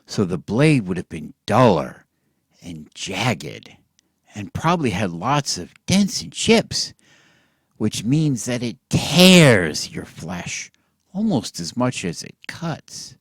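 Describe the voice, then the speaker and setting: A man telling a satirical bedtime story as if to children, in a theatrical, mock-earnest way with drawn-out words and hushed drops. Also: on the low side, sing-song, breathy edge, dry recording, studio quality.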